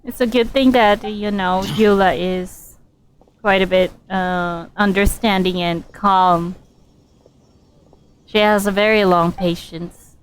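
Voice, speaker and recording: A woman streaming a game with casual commentary, her voice at a middle pitch. She speaks haltingly, feeling for words, over a faintly noisy recording with clicks. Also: fairly even intonation. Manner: hesitant, halting, searching for words. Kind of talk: casual live commentary on gameplay.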